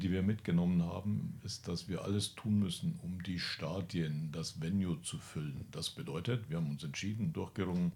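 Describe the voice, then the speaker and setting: A man's voice: low-pitched, vocal fry, darkish tone. A man organizing a large sports event, speaking confidently in a briefing style with English buzzwords mixed in. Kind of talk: panel discussion.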